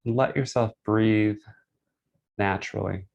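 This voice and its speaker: A man leading a guided meditation, speaking gently in short, unhurried phrases with pauses between them. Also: lowish voice, steady tone, vocal fry, muffled recording. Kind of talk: guided meditation, instructional and soothing.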